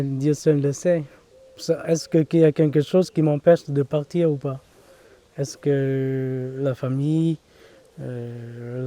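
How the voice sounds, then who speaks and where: Monk from Vietnam, halting and slow, very mellow tone, long reverb around him. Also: male voice, a little high. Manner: hesitant, many fillers and restarts. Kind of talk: documentary interview.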